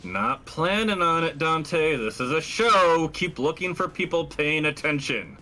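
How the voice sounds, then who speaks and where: Man playing a curt, forceful spaceship captain. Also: on the high side, lively intonation, measured pace, vocal fry, exaggerated voice. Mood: curt, irritated. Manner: curt, commanding, intimidating. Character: brusque, commanding spaceship captain, putting on an angry show.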